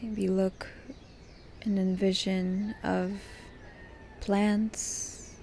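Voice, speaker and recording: A woman guides a meditation in a soft, mellow voice with some creak in it. Her delivery is gentle and very unhurried, with pauses between short phrases. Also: medium pitch, level tone, a little hiss or hum.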